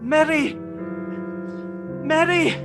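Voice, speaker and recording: Man acting old miser reborn, very high voice, slow delivery, theatrical, emotional, radio play, music behind. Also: fairly even intonation, full character voice. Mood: giddy joy. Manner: theatrical, emotional, repeats words.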